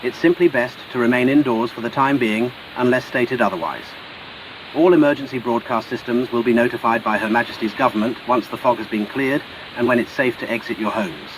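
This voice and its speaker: A man's voice: medium pitch, a little fast, close to an everyday voice. A man with a British accent playing a grave TV emergency announcer, reading formal, urgent scripted news over hissy audio.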